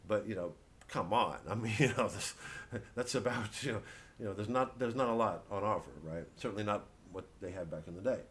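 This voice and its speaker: Male comedian, lowish voice, digressive satirical solo riffing, halting delivery with swearing. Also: a bit bright. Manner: acts out mock quotes and voices. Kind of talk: rambling solo satirical monologue.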